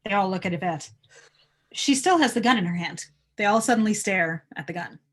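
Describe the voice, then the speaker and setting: A woman narrates a screenplay at an informal table read. Her voice sits at a medium pitch as she reads out scene headings and action. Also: lively intonation, a little fast. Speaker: narrator reading stage directions.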